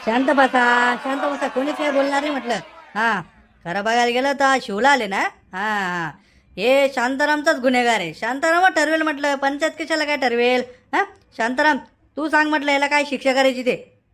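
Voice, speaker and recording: A woman's voice: high voice, normal speed, background noise, lightly acted. A woman voicing a bossy male village council leader in a comedy cartoon, insistent. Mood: commanding. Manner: insistent, commanding.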